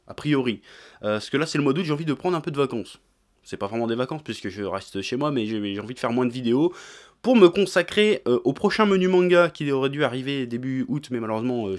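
A young man talks casually and slangily to camera, hesitating often, like a channel host giving an update. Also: medium pitch. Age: young adult. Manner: informal, slangy, frequent hesitations. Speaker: YouTube manga and anime channel host. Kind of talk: casual vlog chat to camera. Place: small indoor room with shelves of figurines.

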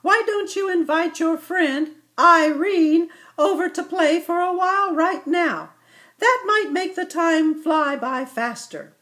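A woman voicing a kind, patient mother ibex in a storybook, gentle and soothing. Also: very high voice, slow pace, voice pushed up, full character voice.

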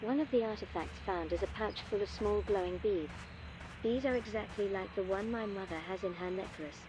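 A woman playing an earnest, composed archaeologist recording measured, articulate expedition audio logs for a game. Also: mid-range voice, noisy background, natural voice. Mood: intrigued, businesslike. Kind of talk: scripted audio-log narration, game voice acting.

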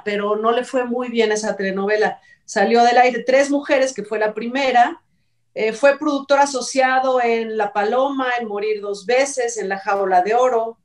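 A woman's voice: fairly high pitch, moderate pace. A middle-aged woman with a Mexican accent co-hosting an entertainment talk show, chatty and opinionated, giving casual news commentary. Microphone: video call. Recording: distant mic on video call.

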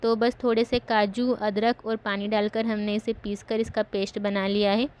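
A woman host giving calm, step-by-step voice-over narration for a home cooking recipe. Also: medium pitch, moderate pace, clean tone.

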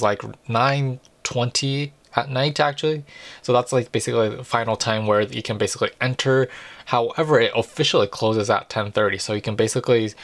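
A young man in a small room talks to camera about film photography, casually, with lots of fillers and restarts. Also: lowish voice. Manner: rambling, many "like" and "basically" fillers, self-corrections. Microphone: large mic above the frame.

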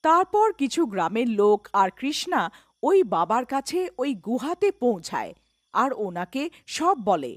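A woman narrating a scripted animated story as its storyteller. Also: on the high side, a little slow, no room sound, clean studio sound.

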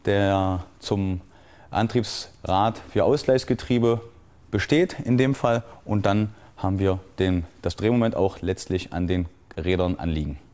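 A man giving an explanatory technical walkthrough as an instructor, often halting to search for the right term. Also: low-pitched, darkish tone.

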